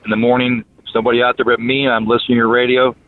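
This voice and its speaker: A man's voice: mid-range voice, level tone, very fast. American man on a tinny phone line. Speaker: listener calling in. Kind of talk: message on a comment line. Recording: thin phone-line sound.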